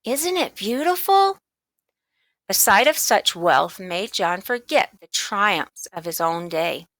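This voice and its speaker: A woman's voice: on the low side, slow, a bit bright, no room sound. A woman narrating a children's storybook as a read-along audiobook.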